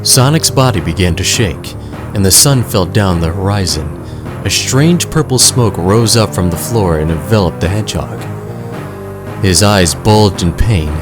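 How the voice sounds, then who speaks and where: A man's voice: low voice, lively intonation, a little slow, over music. A man narrating a scripted audio drama in a dramatic storytelling manner.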